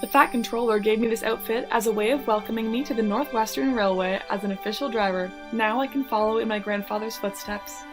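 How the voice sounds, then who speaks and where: A woman's voice: a little high, fairly quick, hardly any pauses, close to an everyday voice. A woman playing a grateful, eager female engine driver in a comic dub. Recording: very loud music over voice.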